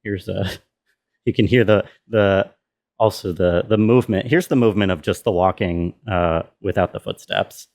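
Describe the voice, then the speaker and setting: A man giving a casual tutorial walkthrough as a sound designer, thinking aloud in a halting way. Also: deep voice, a little mellow.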